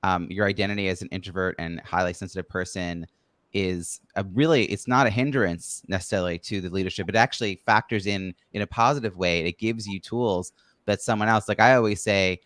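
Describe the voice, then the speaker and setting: Low-voiced man hosting an interview podcast. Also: a little fast, fairly bright tone, dry recording. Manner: outgoing, talkative, builds long questions in repeated phrases. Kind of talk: conversational interviewing.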